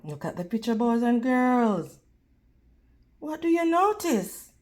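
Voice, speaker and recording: A woman reading a children's storybook aloud like a teacher, deliberate and instructional toward young listeners. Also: on the high side, sing-song, a little mellow. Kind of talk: children's storybook read-aloud with prompting questions.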